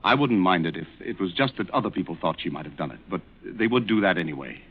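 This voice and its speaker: A sober, troubled friend in a vintage radio play. His low voice is earnest and grave, and the recording is slightly dull. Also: lively intonation, quick pace, a little hiss or hum. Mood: anxious. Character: earnest, troubled male friend, serious and pleading. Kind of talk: 1940s radio acting.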